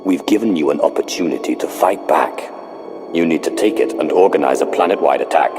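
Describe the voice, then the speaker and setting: An actor playing a commanding, cold Sith lord in a video game, measured, formal and imperious. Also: male voice, extremely low pitch, long reverb tail, music in the background. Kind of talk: video game cutscene acting.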